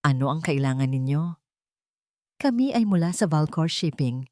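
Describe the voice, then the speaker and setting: A man's voice: on the high side, animated, normal speed, a little mellow, no echo, clean studio sound, natural voice. A woman voicing a cold, formal company man in a suit, businesslike and unfeeling, in an audiobook story.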